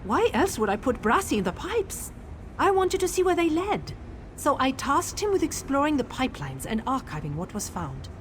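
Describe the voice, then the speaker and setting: Prickly game researcher, secretly soft-hearted, animated pitch, clear background noise. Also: female voice, moderate pace. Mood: relieved, attached. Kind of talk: AI-voiced video game dialogue.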